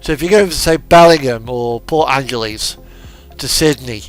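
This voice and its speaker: A man's voice: mid-range voice, normal speed. A man streaming a truck driving game, giving easygoing, rambling casual commentary and chatting to viewers. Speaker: livestreamer playing a truck driving simulator. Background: background music and game truck engine.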